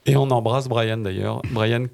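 A man of medium pitch hosts a podcast off the cuff. His voice has a marked creak, and he links segments in a halting, hesitant way. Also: fairly even intonation, darkish tone. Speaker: podcast host. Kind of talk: unscripted hosting.

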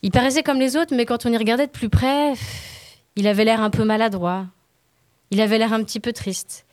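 A woman's voice: fairly high pitch, fairly even intonation, brisk, no room sound. A woman podcast columnist telling stories and chatting in an informal, playful way. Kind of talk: storytelling and casual chat.

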